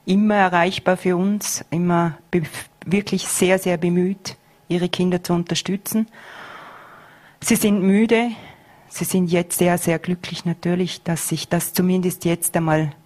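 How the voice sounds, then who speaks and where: A woman's voice: lowish voice, little pitch movement, darkish tone. A middle-aged woman, a school head answering interview questions earnestly, with frequent hesitations. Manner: earnest, measured, with many hesitations.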